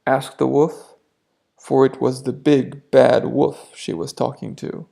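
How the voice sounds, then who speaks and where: Slow, mellow man telling bedtime stories. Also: medium pitch, flat delivery, vocal fry. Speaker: storyteller. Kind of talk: bilingual children's bedtime story narration.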